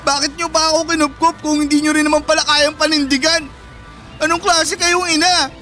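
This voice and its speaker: High-pitched Filipino teen brother, protective and easily wounded, heartfelt radio drama over soft music. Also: male voice, little pitch movement, brisk. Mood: hurt, bitter. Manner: earnest, emotional delivery. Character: hurt, affectionate teenage boy, protective older brother. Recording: music behind the voice.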